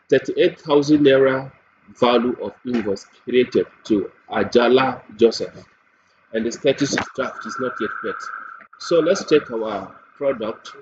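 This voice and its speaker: A man with a Nigerian accent gives an unscripted, step-by-step spoken walkthrough as a software demonstrator. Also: mid-range voice. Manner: step-by-step explaining. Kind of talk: screen-recorded product walkthrough, unscripted. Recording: dull lo-fi mic with faint noise.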